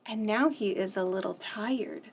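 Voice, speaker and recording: A woman hosting a children's storytime, reading picture books aloud gently and asking the kids questions. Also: mid-range voice, a little hiss or hum. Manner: gentle, slow, sing-song, asks children questions.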